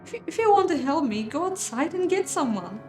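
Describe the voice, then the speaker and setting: A terrified young lady of the manor voiced by a woman reading a visual novel, pleading frantically in a high, raised voice. Her cries ring in a roomy space with music behind them. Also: sing-song, fairly quick, darkish tone. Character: terrified young noblewoman crying out for help.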